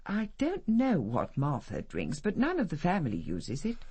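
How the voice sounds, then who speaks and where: Haughty, husky upper-class matriarch. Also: female voice, sits low, huge pitch swings, normal speed, dark tone, exaggerated voice. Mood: formal, firm. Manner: imperious, clipped, formal. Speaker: actress in a radio detective drama. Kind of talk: radio drama dialogue.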